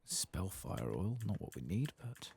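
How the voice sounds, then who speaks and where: A man doing soft-spoken ASMR commentary as he plays a game, murmuring to himself in a low-key way. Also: big pitch swings, unhurried, gravelly, background noise. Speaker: game streamer, host of an ASMR playthrough. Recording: keyboard and mouse clicks behind voice.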